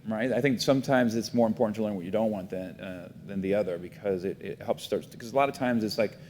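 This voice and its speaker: Man, middle-aged, from Miami, low voice, reflective, many fillers, echoey room. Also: fast, a little mellow. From Miami, United States. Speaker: guest, foundation CEO. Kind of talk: casual interview talk. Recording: roomy reverb, faint rumble.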